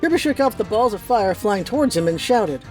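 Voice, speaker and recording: A woman's voice: medium pitch, lively intonation, brisk, loud music behind the voice. A woman reading scripted storybook narration as the narrator of a radio play.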